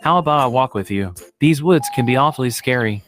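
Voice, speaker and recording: A woman's voice: extremely low pitch, expressive, a little fast, soft background music, pitched below the performer's own voice. A woman voicing a sly male big bad wolf who feigns friendliness in a children's story, with a drawling, polite manner. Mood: slyly friendly.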